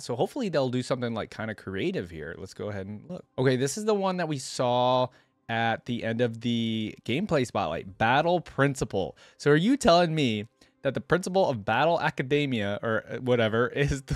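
Young man reacting casually, lively pitch. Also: no echo. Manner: easygoing, slangy, thinking aloud. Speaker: reaction video host. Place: indoor room at a desk, gaming chair. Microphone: desk microphone close in front, headset worn. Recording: music playing behind the voice. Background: music.

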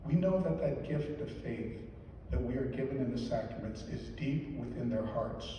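An older man delivers a homily in a mellow, dark voice, earnest and deliberate. He is heard from far back in a large church, with long echoing reverb and faint room hiss behind him. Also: medium pitch. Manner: measured, earnest, slow deliberate emphasis. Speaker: deacon or priest giving a homily. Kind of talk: weekday homily. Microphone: lectern mic, filmed from the back pews.

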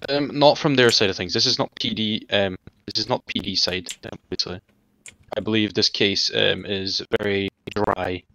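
A man playing a lawyer in online roleplay, explaining himself formally and haltingly. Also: fairly low pitch, steady tone, gravelly, pushed and bright, no echo, low-quality recording.

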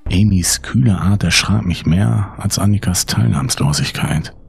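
Low, gravelly man narrating horror. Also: lively intonation, a little slow, no room sound. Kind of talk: scripted first-person horror narration, audiobook reading.

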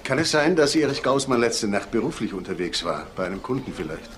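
A matter-of-fact police detective in a crime drama. His voice sits at an average male pitch. He speaks in a dry, measured way over slight noise. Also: sing-song, a bit bright. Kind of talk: German TV crime drama acting.

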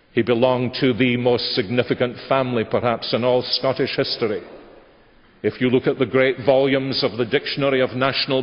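An older man preaching a measured sermon from a church lectern microphone. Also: mid-range voice. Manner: measured, gesturing preaching cadence. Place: large church sanctuary. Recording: dull church webcast audio with long reverb.

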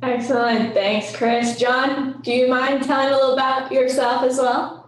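Young woman panel moderator, eager tone, fairly high voice, very roomy echo. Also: level tone, normal speed. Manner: enthusiastic. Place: small office with bare walls. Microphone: far webcam across a desk. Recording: very echoey room from the mic's distance.

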